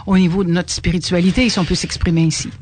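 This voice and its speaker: A woman's voice: lowish voice, level tone, fast, a little mellow, a little hiss or hum. Earnest older woman on radio. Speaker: guest. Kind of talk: radio interview. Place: radio studio.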